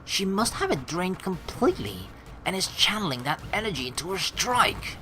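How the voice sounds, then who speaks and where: A woman playing through a game, reading the story aloud and reacting excitably with casual swearing. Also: fairly low pitch, normal speed, soft background music. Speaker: let's play streamer reading a visual novel aloud.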